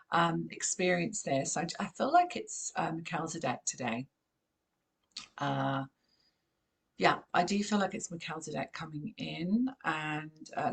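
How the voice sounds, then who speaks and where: A woman with a croaky voice, gently guiding a channeled meditation in a calm, unhurried way. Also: on the low side. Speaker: spiritual channeler and host.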